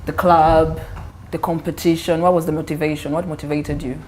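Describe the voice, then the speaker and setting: A woman with a low voice hosts a panel discussion. She asks the guests questions and answers them with short acknowledgments, with a faint hum of background noise. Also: little pitch movement, a little fast, a little mellow. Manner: interviewer asking questions, frequent backchannels. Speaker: host.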